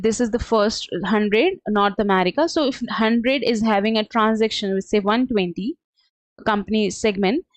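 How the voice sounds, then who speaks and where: A woman presenting a live training webinar, explaining step by step in a halting, instructional way. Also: on the high side, no room sound. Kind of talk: live instructional screen-share walkthrough.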